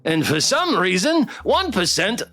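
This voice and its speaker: A woman's voice: mid-range voice, big pitch swings, unhurried, a bit bright, faint music behind. A woman streaming a game, reacting expressively in casual commentary and reading dialogue aloud. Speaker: streamer playing a game blind.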